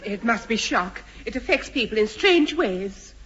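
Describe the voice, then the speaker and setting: Slow-speaking, sensible radio comedy woman. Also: fairly high pitch, big pitch swings, a bit bright, slightly rough, exaggerated voice. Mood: concerned. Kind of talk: 1950s radio comedy acting. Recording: noisy, dull old radio recording.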